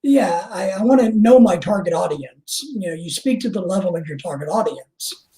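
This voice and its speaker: Mellow-voiced man in an echoey room. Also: sits high, moderate pace. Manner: curious, asks questions with careful hedging. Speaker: podcast co-host. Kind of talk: casual chat.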